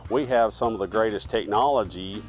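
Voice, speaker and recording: A man's voice: on the low side, a little fast, faint music behind. A man, a town mayor being interviewed outdoors in a park. He talks in a friendly way and often repeats words as he starts a phrase.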